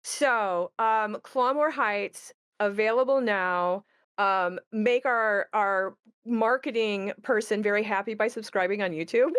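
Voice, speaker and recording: Clear, smooth-voiced woman chatting casually. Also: mid-range voice, a bit bright, no echo, clean studio sound. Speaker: game creator co-hosting a promotional chat. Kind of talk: casual promotional chat.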